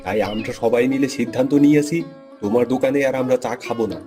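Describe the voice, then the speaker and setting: A man acts out a snobbish, blunt village customer in a cartoon fable. He talks quickly and dismissively in a mellow voice, with faint music underneath. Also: medium pitch, natural voice. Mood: disdainful. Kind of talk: Bengali cartoon moral-story voice acting.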